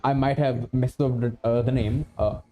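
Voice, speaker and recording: A man livestreaming a game with casual, somewhat hesitant, halting chat. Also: mid-range voice, fairly even intonation, phone-quality sound, a crowd in the background.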